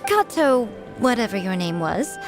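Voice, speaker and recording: A woman's voice: mid-range voice, very animated, unhurried. A woman playing a sly, aloof and playful shrine priestess in a video game, teasing and nonchalant in manner. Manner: teasing, nonchalant, smooth-talking. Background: game music.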